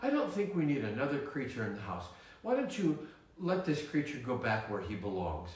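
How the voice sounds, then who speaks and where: A man voicing a sensible mother who speaks softly and in a measured way. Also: mid-range voice, wildly swooping pitch, pitched below the performer's own voice. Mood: firm. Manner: soft-spoken, measured. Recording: echoey distant room recording.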